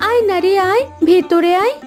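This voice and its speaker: A very high-pitched young wife and daughter, anxious and soft-hearted. She speaks with pleading emotion over faint music. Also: moderate pace. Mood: grateful, worried. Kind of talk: Bengali cartoon voice acting.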